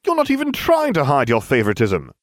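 Male reader as a self-righteous boy hero for a comic audiobook; glib, pompous, pitched up, swinging delivery. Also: huge pitch swings, fairly quick, no room sound, studio quality. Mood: indignant. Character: cocky, self-righteous schoolboy, indignant and oblivious.

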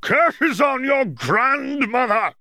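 A man's voice: very high-pitched, lively intonation, slow, dry recording, studio quality, voice pushed up, full character voice. A man voicing a foul-mouthed, spiteful weasel-like magical creature in a storybook reading, cursing as it goes. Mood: jeering. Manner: muttering and shouting curses.

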